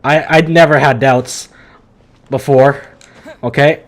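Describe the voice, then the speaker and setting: A man's voice: medium pitch, fairly even intonation, slow pace. A man livestreaming a video game with casual, slangy, emphatic commentary, often stumbling and repeating himself.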